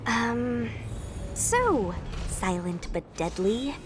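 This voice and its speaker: A woman's voice: fairly high pitch, sing-song, extremely slow, background noise, exaggerated voice. A woman voicing a perky, teasing, bossy young adventurer in a video game. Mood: playful, confident.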